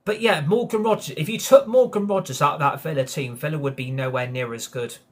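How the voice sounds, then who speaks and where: A man's voice: a little high, fairly quick. A man hosting a live football match reaction stream, ranting heatedly, swearing often and answering his live chat. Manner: heated ranting with frequent swearing and repeated agreement. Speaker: football fan channel host.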